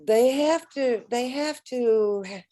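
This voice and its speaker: A woman panelist in an online round table, speaking haltingly with repeated words and many fillers. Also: fairly high pitch, mellow. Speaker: educator and panelist. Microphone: video call.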